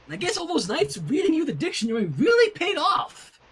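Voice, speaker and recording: Performer doing a high, gushing young pony princess woman. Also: fairly quick, exaggerated voice. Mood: proud, gushing. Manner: gushing, excitable cooing. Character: bubbly, affectionate young female pony princess, doting.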